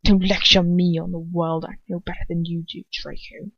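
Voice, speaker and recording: A woman's voice: lowish voice, level tone, moderate pace, dry recording, lo-fi sound. A woman voicing a cold, arrogant, menacing wizard father in a story reading, with a controlled, venomous mutter.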